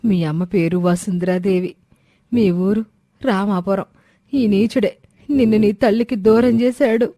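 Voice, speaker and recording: A woman's voice: medium pitch, fairly even intonation, unhurried, warm tone, dry recording, clean studio sound, lightly acted. A woman voicing a solemn, protective foster mother with an urgent manner in a moral story. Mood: grave, urgent. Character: grave, protective foster mother revealing the truth. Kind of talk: Telugu moral story character acting.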